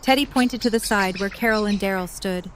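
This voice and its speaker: A woman reading scripted story narration in an audio drama, at a medium pitch. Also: a little fast, background noise.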